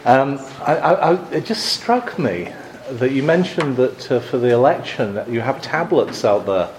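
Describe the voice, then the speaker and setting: A man from England in middle age speaks to a live audience, his pitch rising and falling with lively movement. He keeps a dry, wry humor and often pauses with ums and restarts. A faint room ambience sits behind him. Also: a little hiss or hum, low-quality recording. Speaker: guest speaker on advocacy.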